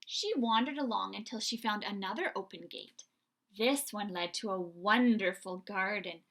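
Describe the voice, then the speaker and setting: A woman hosting a read-aloud for young children, telling the story in an expressive, deliberate way. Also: medium pitch. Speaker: children's story reader and host.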